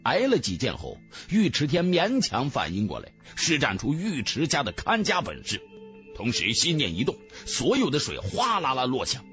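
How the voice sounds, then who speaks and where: Very animated male audiobook narrator. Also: mid-range voice, normal speed, faint music behind. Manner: theatrical. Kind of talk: dramatic scripted fantasy narration.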